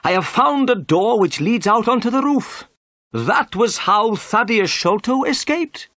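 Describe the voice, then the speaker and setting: A man's voice: high voice, animated, a little slow, a bit bright, no echo, studio quality, pitched above the performer's own voice. A man voicing a pompous, self-important police inspector in an audiobook detective story, with an insistent, brusque manner. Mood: triumphant.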